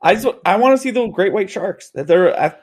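A man's voice: high-pitched, lively intonation, normal speed. A man in his forties co-hosting a podcast, chatting casually, rambling and correcting himself, laughing easily. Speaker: podcast co-host.

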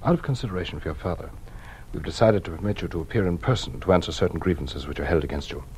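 A man's voice: low-pitched, racing, darkish tone, slight background noise, lightly acted. An actor playing a stern, patronizing male official who runs a hearing, in a 1950s radio drama.

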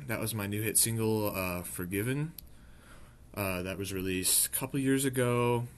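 A man co-hosting a comic podcast, telling tall tales deadpan with hesitant fillers. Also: lowish voice, normal speed. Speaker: podcast co-host.

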